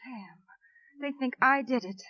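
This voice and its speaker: A woman's voice: high-pitched, unhurried, sharp tone, no room sound, soft background music. An actress playing an accused stepmother in a 1950s radio drama, anxious and struggling to stay composed. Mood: strained, frightened. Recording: muffled 1950s radio recording.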